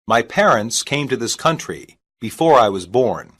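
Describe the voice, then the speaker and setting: A reader saying short English practice sentences slowly and evenly, each one twice, for a language lesson. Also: medium pitch, lively intonation, fairly bright tone. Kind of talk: slow repeated phrase reading.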